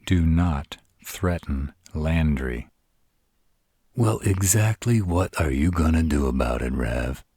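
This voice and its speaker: A man performs an arrogant vampire in an audio roleplay. His voice is extremely deep and heavily rasped. He speaks slowly and with dramatic weight, recorded dry and close. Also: animated, dark tone, dry recording, full character voice. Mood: stern, angry. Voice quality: very deep, rough husky voice. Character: arrogant male vampires plotting, grave and cocky. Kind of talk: scripted audio roleplay acting.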